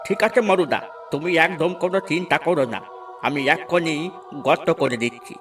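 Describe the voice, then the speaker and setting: A man's voice: fairly high pitch, fairly quick, natural voice. A man voicing an obedient young village helper in a cartoon, eager to please. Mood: obliging. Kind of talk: cartoon voice acting. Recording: distant, music behind.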